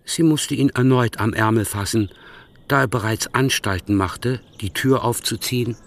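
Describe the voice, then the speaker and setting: A man narrating a radio play in a measured, wry storytelling manner. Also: mid-range voice, creaky.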